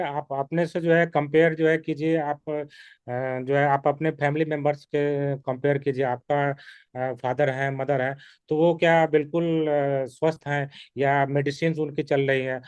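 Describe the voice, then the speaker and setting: A man's voice: medium pitch, darkish tone, clean tone, no echo, dull audio. A man who sells health products, answering a customer's objections hesitantly and with many fillers. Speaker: network marketing distributor.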